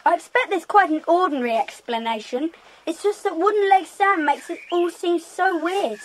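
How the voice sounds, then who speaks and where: A woman acts out a level-headed, cheeky English child for a children's audio play. The voice is extremely high. The manner is matter-of-fact and teasing, with faint noise in the background. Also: animated, normal speed, rough recording. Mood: dry, teasing. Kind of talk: children's audio drama acting.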